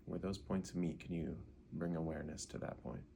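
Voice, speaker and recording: A man leading a guided meditation in an unhurried way, gently asking questions and leaving pauses. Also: low voice, flat delivery, vocal fry, slight background noise.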